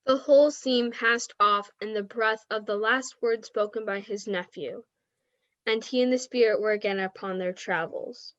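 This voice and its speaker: A woman's voice: medium pitch, moderate pace, a bit bright, no echo. Dry, slightly dull young female narrator. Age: teenager. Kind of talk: scripted radio play narration.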